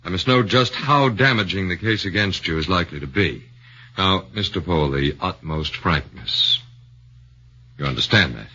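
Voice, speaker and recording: A methodical defense lawyer in a muffled 1940s radio play. His voice is very low but bright and edged with slight huskiness, and he questions in a measured, formal way. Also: expressive, breathy edge, exaggerated voice. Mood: probing.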